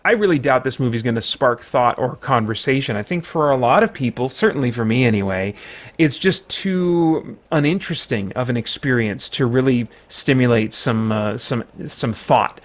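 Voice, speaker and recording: A man's voice: medium pitch, moderate pace. A man giving an unscripted review to viewers as the host, conversational and often correcting himself as he goes. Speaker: film reviewer, channel host.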